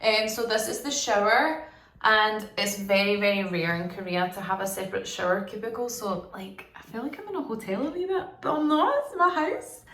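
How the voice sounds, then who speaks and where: A woman vlogging a casual apartment tour indoors, talking in a monotonous, relaxed way with lots of fillers. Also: mid-range voice, moderate pace. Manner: monotonous, flat delivery; relaxed, filler-heavy talk.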